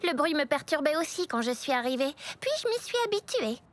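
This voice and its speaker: A woman voicing a gentle, helpful country rabbit girl, friendly and reassuring, in a French-dubbed children's cartoon. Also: high voice, sing-song, brisk. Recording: loud music behind the voice. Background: music.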